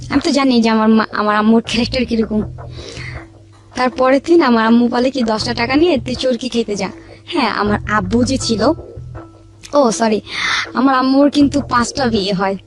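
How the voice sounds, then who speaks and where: A woman's voice: a little high, moderate pace, pauses often. A young woman tells a personal life story, repeating phrases as she goes. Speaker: guest telling her life story. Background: background music.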